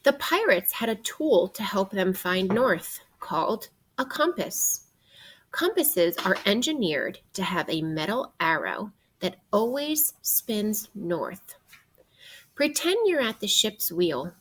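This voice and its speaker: A woman teacher reading a children's book aloud in an expressive way, guiding young listeners with questions and pauses. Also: medium pitch, slightly rough. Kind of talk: interactive read-aloud of a children's nonfiction book.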